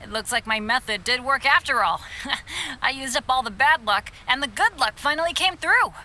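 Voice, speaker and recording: A woman voicing an earnest, optimistic youngster in a video game cutscene, with an expressive, informal delivery. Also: high-pitched, normal speed, slight background noise, full character voice. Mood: upbeat, curious.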